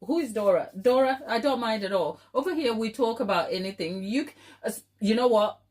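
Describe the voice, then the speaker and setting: A woman's voice: on the high side, animated, brisk, darkish tone. A woman with a Ghanaian accent hosting a casual live chat, affectionate and playful, often repeating phrases for emphasis. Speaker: baking livestream host.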